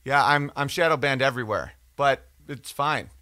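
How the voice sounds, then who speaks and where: A man's voice: medium pitch, normal speed, pushed and bright, dry recording. A man hosting a podcast, talking in a casual, digressive, profane monologue as he reads out and answers comments. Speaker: podcast or livestream host.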